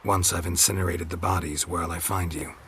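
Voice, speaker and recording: Casting: weary fantasy game mercenary, very deep bass, gruff, dry, few words. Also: male voice, a little fast, slight background noise. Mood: dry, guarded. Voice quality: gravelly, low. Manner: terse, deadpan. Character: gruff, laconic, world-weary male monster hunter. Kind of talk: video game character acting.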